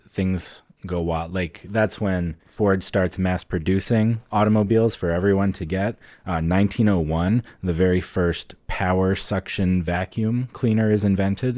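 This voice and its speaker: A man's voice: low-pitched, fairly even intonation, a little slow, hardly any pauses, darkish tone, dry recording, studio quality. A man co-hosting a podcast, explaining history in a casual, conversational and enthusiastic way. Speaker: podcast co-host.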